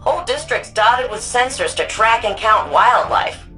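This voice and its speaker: A woman archivist turned resistance hacker for a sci-fi game. She talks casually and wryly, with an anxious edge and a lively, swinging pitch. Light music plays behind her. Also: unhurried. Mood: focused, matter-of-fact. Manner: casual, conversational, wry. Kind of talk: video game mission dialogue.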